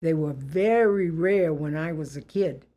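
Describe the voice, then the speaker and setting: An elderly woman with a Boston accent, reflecting on her life in an interview in a deliberate, plain-spoken, good-humored way. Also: deep voice, dark tone. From Boston area, USA.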